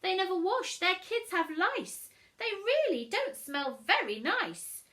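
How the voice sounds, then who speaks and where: Storybook busybody lady, voiced by a woman: high, swooping, fussy, scandalised and disapproving. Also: very animated, slow pace, bright, forceful tone, exaggerated voice. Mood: disgusted, scandalised. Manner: fussy, indignant. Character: snobbish, disapproving female neighbour, scandalised gossip.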